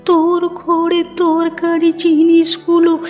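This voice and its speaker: A woman's voice: very high-pitched, completely flat delivery, darkish tone. A woman playing an earnest, stubborn but kindly old village woman in a cartoon moral story, speaking with insistent care. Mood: earnest warning. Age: 70s character. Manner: slow, earnest, insistent pleading. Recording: muffled audio with music behind.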